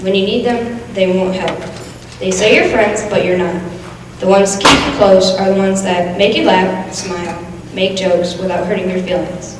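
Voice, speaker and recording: A young woman of medium pitch delivers a recited monologue. The recording is distant and very echoey, with a long hall reverb and clear noise. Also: steady tone, normal speed. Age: teenager or young adult. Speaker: student performer. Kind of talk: readers theatre monologue, recited. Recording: echoey hall from far off, noisy.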